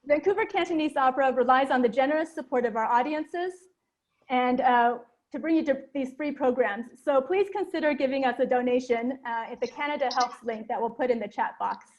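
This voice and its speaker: A woman from North America hosting a live online event, speaking in a friendly, clear way with small fillers. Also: high voice, brisk, a little mellow. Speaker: host.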